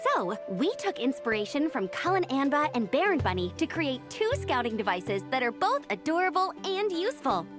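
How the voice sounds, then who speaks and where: A woman's voice: high-pitched, very animated, moderate pace, few pauses. A woman voicing a friendly, enthusiastic young inventor with a chipper manner in an anime-style video game. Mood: proud, upbeat. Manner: chipper, explanatory. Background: background music.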